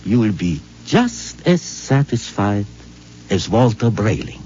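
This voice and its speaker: An actor playing a smooth, knowing puppet maker who speaks in a suave, sing-song way in a 1950s radio drama. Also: male voice, on the low side, sing-song, takes it slowly. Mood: sly. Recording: dull old radio broadcast recording.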